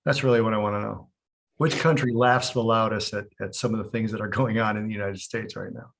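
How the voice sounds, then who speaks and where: A man's voice: on the low side, steady tone, quick pace, fairly bright tone, a little hiss or hum. A man co-hosting a casual interview podcast, asking blunt, provocative questions. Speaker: podcast co-host.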